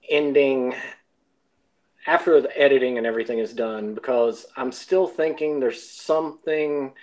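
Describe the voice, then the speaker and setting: A man with a medium-pitched voice talks as a guest in a casual group chat. He thinks aloud in long, winding sentences with some hesitation. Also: darkish tone, low-quality recording. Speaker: audio drama cast member, podcast guest.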